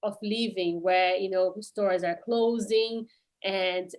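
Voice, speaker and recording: A woman's voice: medium pitch, fairly even intonation, slow, a little mellow. A woman hosting a podcast interview, speaking hesitantly with many fillers. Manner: hesitant, full of "you know" and "uh" fillers. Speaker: podcast host.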